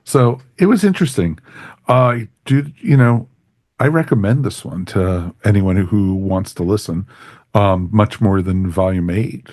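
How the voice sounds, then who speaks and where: A man's voice: lowish voice, measured pace, darkish tone, no echo, clean studio sound. A man hosting a podcast, talking casually as he reviews and moderates. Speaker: podcast host.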